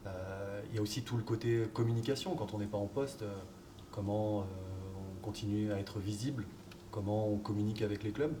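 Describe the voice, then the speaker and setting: A man, a football coach, answering interview questions in a relaxed, hesitant way with many fillers. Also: lowish voice, brisk. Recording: distant mic, background noise.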